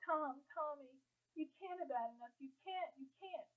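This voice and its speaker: A woman's voice: high-pitched, normal speed, pitched above the performer's own voice, close to an everyday voice. An anxious young girl from colonial times , played by a woman reader, earnest and stubbornly brave. She pleads like a storybook heroine, on a narrow, muffled recording. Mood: desperate pleading. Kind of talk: audiobook character dialogue.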